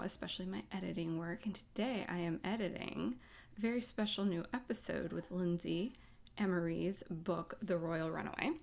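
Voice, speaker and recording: A woman's voice: on the low side, vocal fry. An American woman hosting in a casual, playful way, rambling informally as she chats. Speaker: host. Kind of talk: casual, chatty vlog talk.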